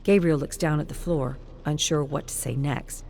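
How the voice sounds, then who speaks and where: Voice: mid-range voice, fairly even intonation, moderate pace, gravelly, a little mellow, a little hiss or hum. A narrator reading a scripted science fiction story in a dramatic, present-tense storytelling style. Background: music.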